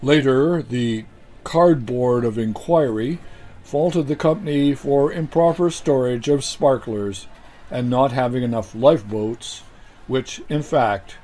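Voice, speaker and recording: An older man delivering a deadpan mock news report as a comedy anchor, in a dry, unhurried newsreader manner. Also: mid-range voice. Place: studio set with green-screen backdrop.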